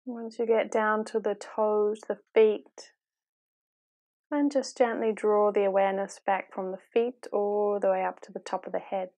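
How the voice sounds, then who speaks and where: Calm woman guiding a slow meditation. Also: mid-range voice. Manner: calm, unhurried, softly instructive with long pauses. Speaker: meditation guide. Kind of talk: guided body-scan meditation, slow gentle instruction.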